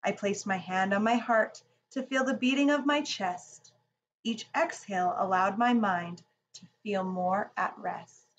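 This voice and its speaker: Slow-paced woman reading to children. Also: mid-range voice, slight background noise. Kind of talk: children's storybook read-aloud and guided relaxation.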